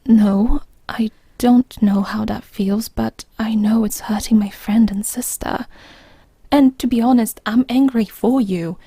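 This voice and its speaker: A woman playing a loyal, protective friend in an audio drama, speaking with gentle firmness in a soft, breathy voice. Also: fairly high pitch, fairly even intonation, measured pace, dry recording. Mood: consoling. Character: protective, loyal female friend, steady and consoling.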